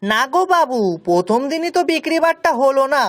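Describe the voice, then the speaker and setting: A woman's voice: high-pitched, very animated, fairly quick. A woman voicing a humble, eager young male servant and street food seller in a cartoon, with deferential, rustic colloquial speech. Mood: downcast. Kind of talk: cartoon story dialogue. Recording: music behind the voice.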